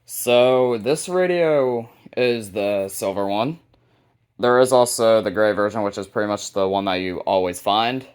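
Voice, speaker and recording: A man hosting a casual, unscripted hobby video showcase, talking informally and a bit haltingly. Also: fairly low pitch, smooth. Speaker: hobbyist video host showing a radio collection.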